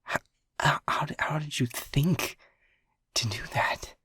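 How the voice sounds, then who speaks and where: A man playing an anxious, protective young lab technician who stammers and keeps his voice to a hushed whisper. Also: on the high side, slow pace. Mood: frightened whisper. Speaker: audio roleplay voice actor. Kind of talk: audio roleplay acting.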